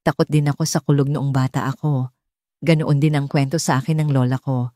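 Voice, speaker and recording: A woman voicing a gentle young nanny in an audiobook, soft and reassuring. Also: extremely low pitch, fairly even intonation, a little fast, no echo, clean studio sound, voice pushed down.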